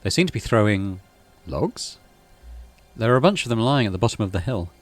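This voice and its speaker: A man's voice: fairly low pitch, big pitch swings, brisk, no echo, soft background music. A man reading out a story game as narration and adding casual commentary as he plays. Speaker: video game streamer.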